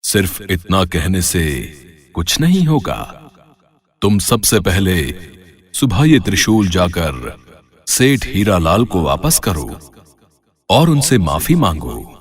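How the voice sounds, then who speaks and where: A man's voice: low voice, very animated, slow pace, full character voice. A man playing a fatherly god who speaks from a magic trident in stern, forgiving pronouncements. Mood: solemn, stern.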